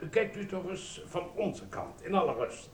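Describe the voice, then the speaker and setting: An actor playing a pompous, fussy, domineering supermarket manager in a Dutch radio play, with a patronising, theatrical delivery. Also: male voice, high voice, lively intonation, normal speed. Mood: condescending.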